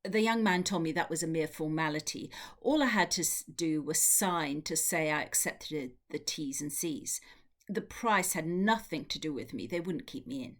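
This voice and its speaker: A woman reading a first-person novel aloud in a measured, expressive storytelling manner. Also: sits low. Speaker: literary translator reading from a novel. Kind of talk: audiobook-style narrated prose reading.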